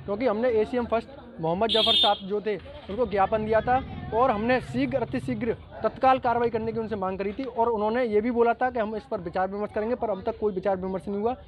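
A man giving an outdoor statement to reporters into handheld press mics, complaining quickly and insistently. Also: sits high, steady tone. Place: street outdoors. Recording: dull, muffled street recording.

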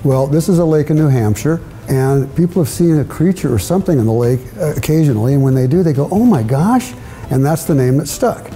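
A man's voice: medium pitch, mellow. An older man, a children's author, telling stories as an interview guest. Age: older, with grandchildren. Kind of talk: interview storytelling. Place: indoor studio set with brick walls, seated at a table. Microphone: lapel mic.

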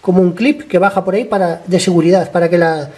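A man with a high-pitched, slightly hoarse voice, worn by a cold, chats through a motorcycle repair in his garage. He talks to the camera as he works, explains each step in a loose, conversational way, and keeps checking that viewers are following. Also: fast talker, darkish tone. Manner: chatty, explains step by step, keeps asking ¿vale?. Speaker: motorcycle mechanic vlogger. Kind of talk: casual workshop tutorial.

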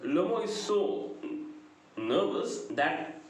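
A middle-aged man with a South Indian accent gives a prepared literature lecture in deliberate, measured short phrases. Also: a little high, big pitch swings. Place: indoor room, very reverberant. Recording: very echoey room, noticeable background noise.

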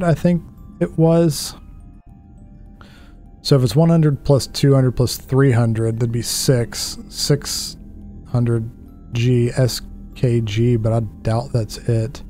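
A man's voice: medium pitch, warm tone. A man in his thirties on a headset, casually narrating a puzzle game while muttering to himself, puzzled and self-deprecating. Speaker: gaming streamer. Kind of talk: casual let's-play commentary.

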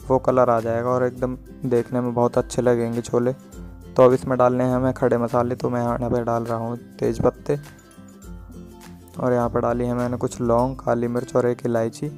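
A man's voice: mid-range voice, a little mellow. A man presenting a recipe as a home cook, explaining it step by step in a plain instructional voice-over. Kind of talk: step-by-step cooking tutorial voice-over.

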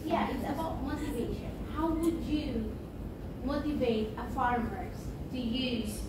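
A woman in the audience asking a question loudly without a microphone, confident, with some hesitations. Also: high voice, a little slow, background noise. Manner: loud, confident, without a mic. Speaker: audience member. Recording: echoey hall, far off, crowd behind.

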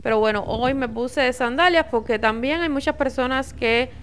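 A young Cuban woman talks to her viewers in an easy, chatty way. Her voice sits a little high. A faint hum of noise runs underneath. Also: normal speed. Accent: Cuban Spanish. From Cuba. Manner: chatty and informal, sends kisses to viewers. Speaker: vlogger. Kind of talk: casual vlog narration.

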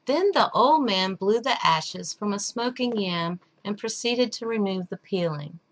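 A middle-aged woman reading a story aloud in an expressive storybook narration style. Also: medium pitch, unhurried, poor audio. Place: small room at home.

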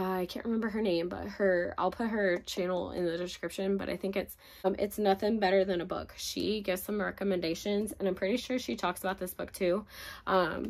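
A young woman, chatting casually about books she loves, her voice cracking as she recovers from being sick. She talks in a gushing way, with lots of fillers. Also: lowish voice. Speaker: book reviewer. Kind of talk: casual book recommendation vlog. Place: home room with bookshelves, indoors.

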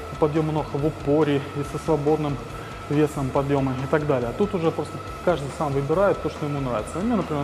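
Male trainer, 30s, lapel mic in a roomy gym, slightly high voice, music under. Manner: explanatory, with frequent "э" fillers. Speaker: fitness trainer. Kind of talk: gym workout tutorial. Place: large indoor gym. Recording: echoey gym with music behind.

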